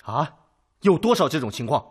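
An actor playing a steady, authoritative Red Army commander with oratorical, commanding delivery in a radio drama. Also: male voice, on the high side, moderate pace, breathy edge. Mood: calm, reassuring. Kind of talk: Chinese radio drama acting.

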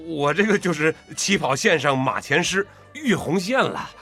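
Casting: old farmer, folksy, hesitant, slyly humble, lively intonation, radio drama with music beneath. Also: male voice, a little high, moderate pace, husky, heavily acted. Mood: sheepish. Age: older. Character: wily, folksy old male farmer feigning humility. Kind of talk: Chinese radio drama acting.

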